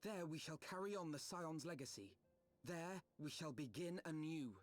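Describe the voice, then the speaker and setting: Male actor as resolute young fantasy game hero, slightly high voice, rallying companions. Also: normal speed, natural voice. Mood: resolute, hopeful. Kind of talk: scripted fantasy game acting.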